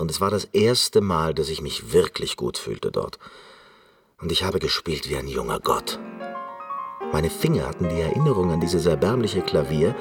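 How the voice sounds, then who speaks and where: An actor playing an eccentric, reclusive concert pianist in a radio play monologue, speaking in an intense, deliberate, reflective way. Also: male voice, sits low, a bit bright, husky, heavily acted.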